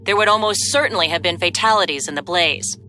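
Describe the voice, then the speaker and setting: An actress playing a polished, upbeat television news reporter in a video game. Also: female voice, on the low side, fast talker, pushed and bright, a little hiss or hum. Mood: newsy.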